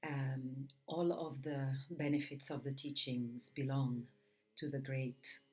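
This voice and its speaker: A woman yoga teacher reading a spiritual commentary aloud in measured, deliberate phrases. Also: extremely low pitch, little pitch movement, warm tone. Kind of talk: read-aloud spiritual lesson with Sanskrit chanting.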